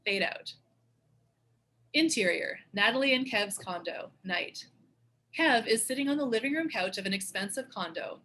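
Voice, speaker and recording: Bright-voiced woman narrating a script. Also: medium pitch, slight background noise. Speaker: narrator reading stage directions.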